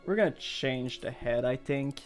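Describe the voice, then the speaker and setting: A native French-speaking man from Montreal talks with a Quebec French accent over background music. He is a game streamer chatting casually to viewers. His delivery rambles and hesitates, as he thinks out loud. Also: medium pitch, measured pace. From Montreal, Canada. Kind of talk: casual live gameplay chat.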